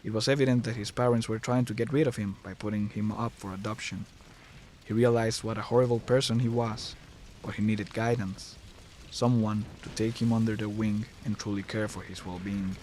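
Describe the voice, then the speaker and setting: A man's voice: on the low side, steady tone, normal speed, darkish tone, a little hiss or hum. A man narrating a scripted horror story as the narrator, recorded close to the mic.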